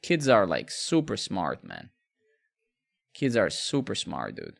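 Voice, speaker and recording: A man's voice: deep voice, wildly swooping pitch, slow, creaky. A man, chatting casually with viewers during a live coding stream, rambling and repeating words. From Serbia.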